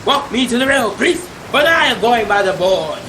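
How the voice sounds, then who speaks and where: A man's voice: very high-pitched, big pitch swings, moderate pace, background noise. A young man playing a proud, blaspheming sea captain in theatrical old-time radio drama style, with archaic, declamatory speech. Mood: raging defiance. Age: young adult. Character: defiant blaspheming sea captain, proud, raging, later pleading.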